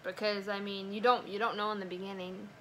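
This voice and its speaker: Young woman, bright voice, casual storytime vlogging, mid pitch, noticeable background noise. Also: level tone, fairly quick, rough recording. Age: young adult. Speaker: vlogger, new mother.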